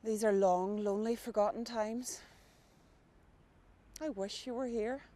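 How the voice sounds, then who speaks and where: Woman narrator, reflective and plain, mellow tone. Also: on the high side, steady tone, unhurried, dry recording. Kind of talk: documentary-style recollection and narration.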